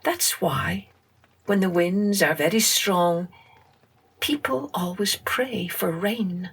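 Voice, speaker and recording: A woman narrating scripted children's folktales as a storyteller, in an expressive, measured way. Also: fairly low pitch, breathy edge. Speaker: storyteller, podcast host.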